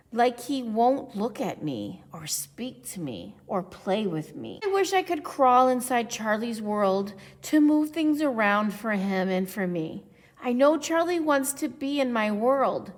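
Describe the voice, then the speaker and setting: Slow-reading woman in an echoey space. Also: a little high. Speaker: storybook reader. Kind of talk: read-aloud of a children's picture book. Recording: echoey, long reverb.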